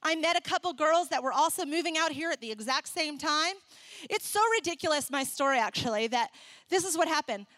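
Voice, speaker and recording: A young adult woman who grew up in Ecuador, preaching and telling her own story in a candid, animated way. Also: sits high, a little fast, no echo. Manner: animated, candid storytelling with laughs and acted asides. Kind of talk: sermon with personal story.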